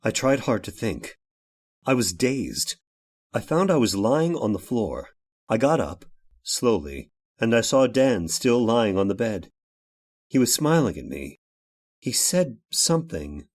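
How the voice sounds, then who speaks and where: An actor playing an anguished, vengeful man in an old time radio horror drama, speaking haltingly with dramatic pauses. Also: medium pitch, big pitch swings. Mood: dazed, haunted. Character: anguished man turned vengeful robot, narrating his horror tale. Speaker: narrator and lead actor.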